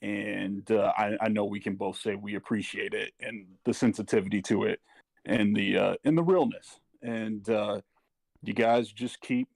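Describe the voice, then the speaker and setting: A middle-aged man speaking personally and earnestly, haltingly searching for his words. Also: fairly low pitch, steady tone, gravelly, a little mellow, husky, no echo. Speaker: parent speaking as a panel guest. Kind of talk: personal testimony in casual talk.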